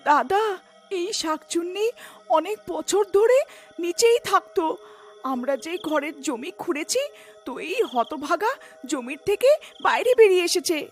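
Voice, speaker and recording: A woman's voice: very high voice, lively intonation, a little slow, long pauses, soft background music. A woman voicing an anxious village wife in a cartoon story, pleading and urgent. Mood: worried.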